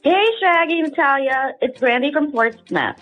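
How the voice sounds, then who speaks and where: A woman's voice: high voice, moderate pace, highs cut off. Woman radio caller, rambly and hesitant, casual, bit of music under her. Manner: hesitant, filler-heavy, rambling explanation. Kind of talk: casual chat.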